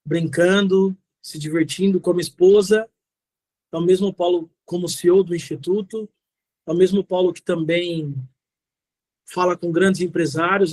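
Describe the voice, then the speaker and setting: A man's voice: high voice, a little mellow, dry recording. A middle-aged Brazilian man giving a motivational talk in answer to questions, speaking informally and directly, repeating key phrases for emphasis. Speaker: guest speaker, social entrepreneur.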